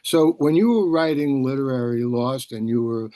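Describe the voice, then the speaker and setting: A man hosting an author interview over a video call, speaking in a discursive, halting way as he thinks aloud. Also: medium pitch, mellow, no echo. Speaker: host and publisher. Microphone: video call microphone.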